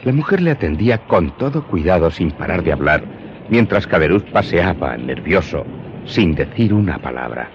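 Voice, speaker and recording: Storyteller: low male eyewitness narrator for a dark radio drama, dull noisy recording. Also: very animated, moderate pace. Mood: grave narration. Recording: dull, clearly noisy audio.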